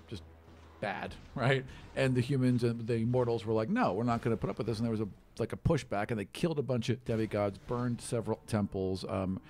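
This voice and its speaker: Gravelly man narrating casually. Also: fairly low pitch, a little mellow. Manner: colloquial, conversational explaining. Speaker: game master. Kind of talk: casual tabletop game narration.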